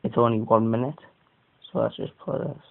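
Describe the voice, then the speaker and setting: A man with a croaky voice giving a casual, rambling, off-the-cuff product review as an amateur. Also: fairly even intonation, normal speed. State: croaky voice today. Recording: muffled phone-like sound, faint rumbling noise.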